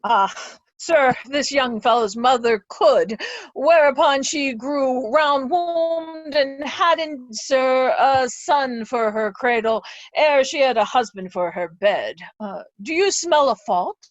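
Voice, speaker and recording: Casting: woman as jovial older nobleman, ribald, high voice, dry and slightly dull recording, classical verse. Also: takes it slowly, no echo. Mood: jovial, bawdy. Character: genial, bawdy older earl boasting of sons. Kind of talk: Shakespearean reader's theatre.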